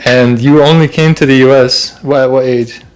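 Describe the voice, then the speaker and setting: A man's voice: mid-range voice, fairly even intonation, normal speed, a little hiss or hum, poor audio. A man casually interviewing his wife at home, prompting her with questions and teasing her along the way. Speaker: interviewer, husband. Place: home kitchen.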